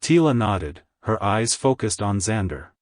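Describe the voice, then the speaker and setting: A man's voice: lowish voice, big pitch swings, measured pace, no room sound, clean studio sound. A man reading scripted story narration as a storyteller.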